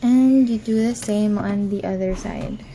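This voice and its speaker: Hesitant young woman with a gravelly voice. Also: medium pitch, unhurried, dark tone, slight background noise, poor audio. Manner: hesitant, many ums, apologetic. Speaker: crafting tutorial host. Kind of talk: casual how-to tutorial. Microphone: recorded in an office.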